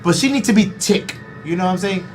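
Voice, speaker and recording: A man's voice: high voice, quick pace, soft background music. A man hosting a live stream in casual chat, playful and hyping things up. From United States. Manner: playful, animated, hypes things up. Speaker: stream host.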